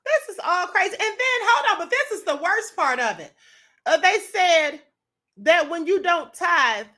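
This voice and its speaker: Sassy, animated woman commentator. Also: very high voice, unhurried. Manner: sassy, animated, laughs often. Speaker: show host. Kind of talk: commentary reacting to viral videos.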